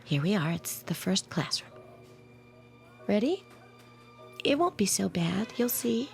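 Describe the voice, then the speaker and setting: Anxious, expressive motherly figure. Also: mid-range voice, measured pace, vocal fry, soft background music. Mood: tender, worried. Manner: hesitant, soft, pleading. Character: gentle, anxious motherly woman, protective and hesitant. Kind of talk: vintage-style radio drama acting.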